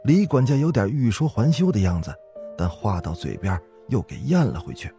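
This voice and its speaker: Low-pitched male ghost story narrator. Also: sing-song, normal speed, mellow, faint music behind. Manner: conversational, folksy, suspenseful. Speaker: horror podcast host and narrator.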